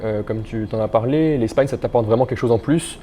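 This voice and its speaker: A man's voice: mid-range voice, a little fast, warm tone, a little hiss or hum. A young man hosting an interview in a casual, conversational style. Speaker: interviewer, host.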